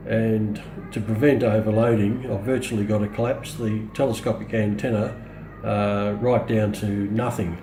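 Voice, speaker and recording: A middle-aged man with an Australian accent giving a casual, unscripted product demonstration, pausing often as he goes. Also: fairly low pitch. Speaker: hobbyist radio reviewer. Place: indoors on a hard countertop, small room. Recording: roomy echo, faint background noise.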